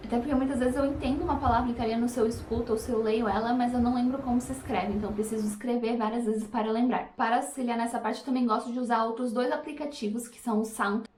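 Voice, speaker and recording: A woman, briskly explaining her writing practice in a casual vlog. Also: on the high side, a little mellow. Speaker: language-learning host. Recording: roomy, distant from mic.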